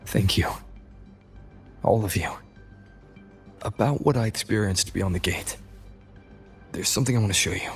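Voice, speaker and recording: A man playing a calm, resolute game hero, speaking in a measured and sincere way. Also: on the low side, soft background music, natural voice. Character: calm, resolute male hero, respected mentor. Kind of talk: scripted game dialogue.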